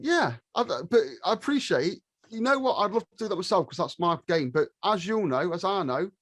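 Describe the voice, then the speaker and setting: A man's voice: high voice, expressive, normal speed, fairly bright tone, no room sound, studio quality. A man with a British accent chatting casually as a podcast guest, sweary and cheeky with a joking manner.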